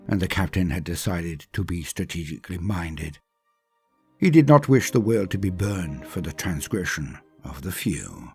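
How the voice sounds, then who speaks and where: Husky male storyteller over music. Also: low voice, a little mellow. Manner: theatrical, ornate, measured phrasing. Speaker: channel host and storyteller. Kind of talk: dramatic scripted story narration.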